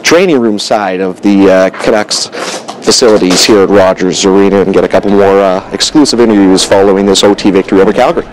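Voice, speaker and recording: Male reporter, locker room, quick polished questions, lowish voice. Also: slight background noise, rough recording. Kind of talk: post-game interview questions.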